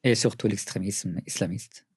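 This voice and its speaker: A middle-aged man from Syria with a Syrian accent, answering interview questions earnestly, often pausing and restarting. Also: fairly low pitch, husky, no echo, studio quality. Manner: earnest, with frequent hesitations and restarts. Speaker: writer and journalist, interview guest.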